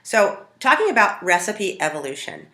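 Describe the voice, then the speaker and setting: An American woman from Montana telling stories casually to camera as a home cook, in a conversational, animated way. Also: mid-range voice, normal speed. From Montana, United States. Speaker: home cook hosting a food video. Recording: roomy, echoing sound.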